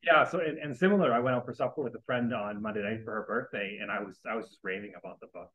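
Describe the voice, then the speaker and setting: A man in a library meeting room speaks with a husky, slightly raspy voice. He rambles earnestly and keeps restarting his sentences. The sound is dull and lo-fi. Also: on the low side, fast talker, a bit bright. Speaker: book club audience member.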